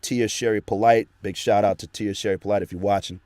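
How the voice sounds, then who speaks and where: A man's voice: on the low side, steady tone, fast, darkish tone, no room sound. A man who works as a voice actor, talking earnestly and at length as a guest in a casual interview, recorded in a small home studio. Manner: earnest, rambling storytelling.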